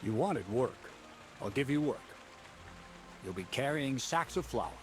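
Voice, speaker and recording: A man playing a gruff, mocking miller boss. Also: mid-range voice, animated, measured pace, soft background music, close to an everyday voice. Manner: dry, mocking, bossy. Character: gruff middle-aged male miller boss.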